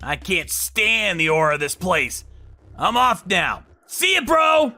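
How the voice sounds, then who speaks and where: A man's voice: sits high, sing-song, slow pace, pushed and bright, pitched above the performer's own voice, exaggerated voice. A man voicing an arrogant, whining younger brother, an overexcited salesman, in a dramatized story. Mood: mocking. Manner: sneering, overexcited, pleading.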